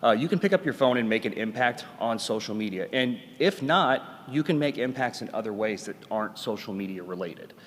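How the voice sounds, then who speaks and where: Man, 30s, loud earnest speaker, frequent fillers, reverberant conference hall. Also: medium pitch, animated, a little fast, few pauses, a little hiss or hum. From Nashville, Tennessee. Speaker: patient advocate speaker. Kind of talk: conference talk.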